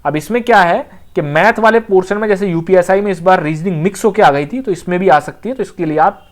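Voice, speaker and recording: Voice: medium pitch, fast. Exam coach explaining informally. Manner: conversational, with frequent check-in phrases and repetition.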